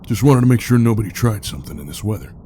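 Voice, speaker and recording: A man acts out a gruff male groundskeeper in an audio drama. His voice is low and dark, and he speaks plainly, calm and insistent, unbothered by anything. There is faint noise behind him. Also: racing, close to an everyday voice. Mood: calm, firm.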